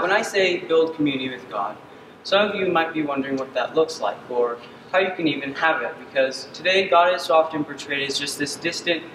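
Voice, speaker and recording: A man's voice: on the high side, fairly bright tone. Young man speaking far off in an echoey hall. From Uganda. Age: young adult. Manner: earnest, frequent ums. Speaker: student presenter. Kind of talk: senior capstone presentation. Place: dark stage in a hall. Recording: distant echoey hall with ambient noise.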